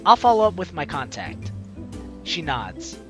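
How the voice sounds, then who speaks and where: A man's voice: fairly high pitch, wildly swooping pitch, measured pace, over loud music. A man hosting a let's play, reading the story aloud in a playful, dramatic way and adding his own commentary.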